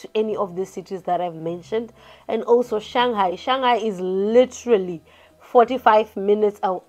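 Young woman, casual to-camera vlogger, chatty and gesturing, restarts often, faint music under the voice, close camera mic. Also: mid-range voice. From Zimbabwe. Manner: chatty, animated hand gestures, frequent restarts and "you get what I mean". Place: small room indoors. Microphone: camera in front at close range.

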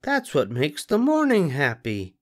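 Storybook figure: older man doing a kind grown rabbit, soft, lilting, unhurried. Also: fairly high pitch, huge pitch swings, pitched above the performer's own voice, heavily acted. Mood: soothing. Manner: soft, soothing bedtime tone. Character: gentle grown male rabbit, patient and kind.

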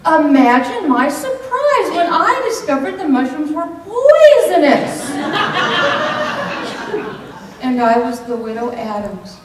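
A middle-aged woman performing a comedic tall tale on stage, with theatrical, deliberate delivery and dramatic pauses. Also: sits high, very animated. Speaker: speech contest contestant. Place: hotel banquet room, indoors. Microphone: far camera microphone. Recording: echoey room from far off, some noise.

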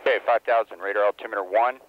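A man's voice: on the low side, steady tone, very fast. A man in a spacecraft cockpit calling out altitudes and speeds in clipped, matter-of-fact callouts over a headset intercom. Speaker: shuttle crewmember calling out landing data. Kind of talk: terse flight callouts. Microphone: crew headset intercom. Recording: dull archival cockpit audio, faint hiss.

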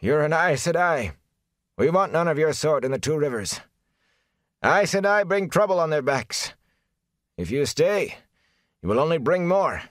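Guy doing a grumbly old thatcher, mutters, higher pitched, dry sound. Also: lively intonation, measured pace, pauses often, no room sound. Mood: sullen. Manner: muttering, pleading.

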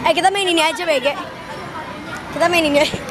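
A woman's voice: very high-pitched, fast talker, a crowd in the background, noisy background. Chatty teen girl vlogging in a mall. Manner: chatty, playful, giggly. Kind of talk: casual vlog chat with friends. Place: busy shopping mall, indoors. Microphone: handheld phone camera.

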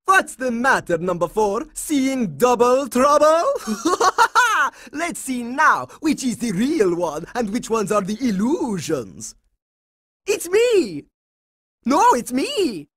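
A man's voice: very high voice, wildly swooping pitch, slow pace, bright, forceful tone, dry recording, studio quality, full character voice. Theatrical, gloating boy vampire villain. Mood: taunting. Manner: formal, taunting, theatrical. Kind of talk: animated cartoon video game acting.

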